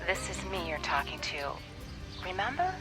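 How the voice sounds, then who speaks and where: An actress playing a brisk, teasing, supportive woman friend in a radio drama, familiar and direct. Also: lowish voice, big pitch swings, over loud music. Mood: gently insistent.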